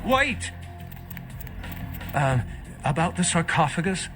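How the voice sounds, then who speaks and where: An actor playing a cautious, learned vampire scholar in a video game, speaking in an earnest, measured, erudite way. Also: male voice, a little high, sing-song, music in the background. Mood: alarmed.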